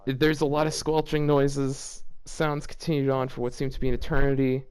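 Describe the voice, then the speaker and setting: A man's voice: medium pitch, flat delivery, moderate pace, a little mellow, clean tone. A man hosting a casual read-aloud, often breaking off to comment in amused, exasperated disbelief. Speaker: host reading fan fiction aloud for a video.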